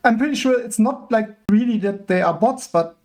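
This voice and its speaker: High, gravelly man. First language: German. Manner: deliberate, searching for words. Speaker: guest, open-source developer. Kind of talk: casual podcast conversation.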